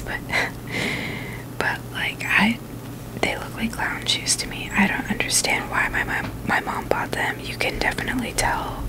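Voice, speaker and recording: Woman, whispered ASMR, very slow pace, informal, halting, video host. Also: medium pitch, poor audio. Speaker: video host reviewing sneakers.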